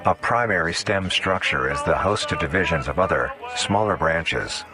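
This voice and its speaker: A man reading scripted mock-documentary horror narration in a formal, measured, explanatory way. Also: sits low, expressive, music in the background.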